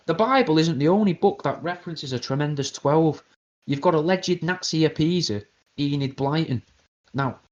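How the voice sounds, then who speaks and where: A British man hosting an internet radio show, delivering a crude, rambling comedic conspiracy monologue in a deadpan way. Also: fairly high pitch, dull audio. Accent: British English.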